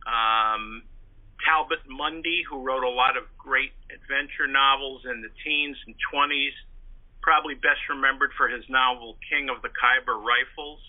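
A man's voice: medium pitch, steady tone, normal speed. Man in his sixties, phone-like sound. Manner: chatty, discursive, articulate. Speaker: interview guest, pulp fiction historian and author. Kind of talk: conversational interview answers, reminiscing. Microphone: telephone. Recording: narrow, tinny phone-like line.

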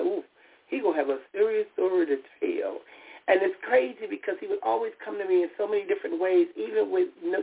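A woman hosts her own radio talk show, her voice raspy from a lingering cold and gravelly with fry. She talks in a heartfelt, personal way, stumbling and restarting now and then. The audio comes through a narrow, tinny phone line. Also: fairly low pitch. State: recovering from a cold. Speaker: radio show host and therapist. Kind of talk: solo internet radio talk.

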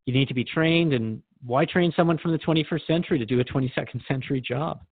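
A man's voice: fairly high pitch, quick pace, gravelly, breathy edge, no echo, narrow, tinny audio. A man hosting a scripted radio drama interview, asking frank, questioning things of his guest.

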